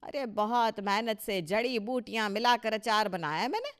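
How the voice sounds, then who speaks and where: A woman's voice: fairly high pitch, expressive, moderate pace, smooth, close to an everyday voice. A woman playing a proud, touchy older mother-in-law in a Hindi cartoon story, emphatic and boastful with a scolding edge. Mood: boastful, indignant.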